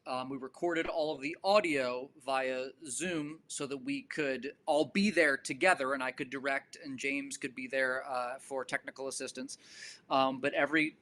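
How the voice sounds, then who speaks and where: Male director on a discussion panel, forward bright tone, measured and articulate, explanatory. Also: medium pitch. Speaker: stage and film director.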